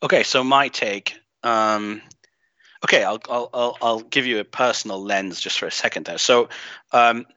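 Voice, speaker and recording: A man from Greece with an unusual mixed accent, talking as an interview guest in a halting, emphatic, opinionated way. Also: mid-range voice, sharp tone, no room sound. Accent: unusual mixed foreign-tinged. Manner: halting, emphatic, thinking aloud. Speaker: podcast guest, game writer and designer. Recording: dull, band-limited online audio.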